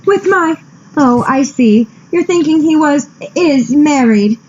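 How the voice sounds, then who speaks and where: An actress playing an anxious, coy damsel in distress in a comic radio play, halting and pleading, on a slightly dull recording. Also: female voice, sits high, lively intonation, darkish tone, a little hiss or hum.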